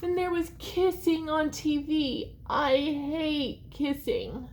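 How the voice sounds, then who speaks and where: A woman's voice: high-pitched, slow pace, darkish tone, a little hiss or hum, voice pushed up. A woman voicing a grumpy, put-upon young boy in a storybook, complaining with whiny emphasis. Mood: disgusted.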